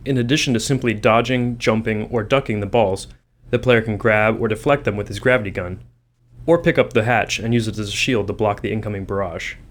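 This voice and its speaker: Slightly low male developer commentary voice. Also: brisk. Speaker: game developer. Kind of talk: scripted developer commentary narration.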